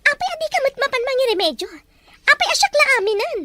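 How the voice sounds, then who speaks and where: A woman's voice: very high voice, big pitch swings, fast talker, sharp tone, no echo, full character voice. A woman playing a grasping, besotted wife in an audio drama, with a whiny, insistent delivery. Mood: pleading, besotted. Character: scheming, grasping wife, besotted with her lover. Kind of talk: Ilocano audio drama acting.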